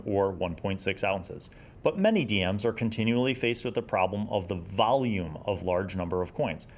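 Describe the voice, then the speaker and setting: A man in his forties hosting a casual review, talking conversationally and enthusiastically and sometimes reading passages aloud. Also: fairly low pitch. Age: 40s. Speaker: host of a gaming review series.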